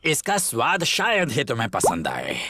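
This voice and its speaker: A man's voice: medium pitch, wildly swooping pitch, normal speed, sharp tone, no echo, clean studio sound, heavily acted. A man voicing a friendly, reassuring bear doctor in a children's cartoon dub. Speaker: cartoon voice actor.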